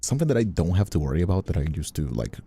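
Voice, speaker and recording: Very deep, dark, gravelly man's voice. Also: sing-song, racing. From Brazil. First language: Portuguese. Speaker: streamer and voice actor, hosting. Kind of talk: casual livestream chat.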